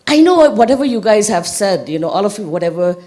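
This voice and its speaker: Woman, loud voice, forthright, emphatic, mid pitch. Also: sing-song, fast. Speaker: audience member commenting. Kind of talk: panel discussion comment.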